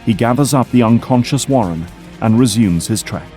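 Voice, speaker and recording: A man's voice: medium pitch, expressive, moderate pace, mellow. A man giving dramatic scripted narration for an audio drama. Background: dramatic music.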